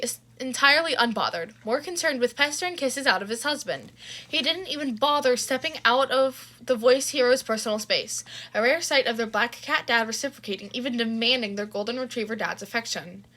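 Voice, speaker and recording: A woman narrating fan fiction in an expressive storytelling style. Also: on the high side.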